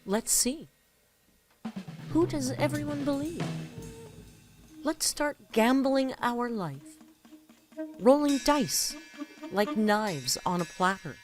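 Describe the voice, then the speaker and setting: A woman's voice: a little high, expressive, slow, long pauses, over music, voice pushed up. A woman voicing a bitter, wounded female poet reciting melodramatic spoken-word verse with heavy dramatic emphasis. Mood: hushed, ominous.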